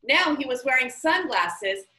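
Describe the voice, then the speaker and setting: Expressive woman reading a storybook aloud. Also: high voice, a little slow. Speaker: story reader. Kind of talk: reading a children's novel aloud. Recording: roomy, somewhat distant mic.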